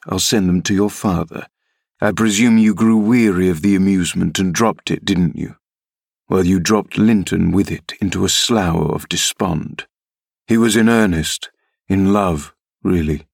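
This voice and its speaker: A man reading as a menacing middle-aged gentleman villain in a classic novel, mocking, commanding and insinuating. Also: low voice, vocal fry, no room sound, clean studio sound, exaggerated voice. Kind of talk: audiobook character reading.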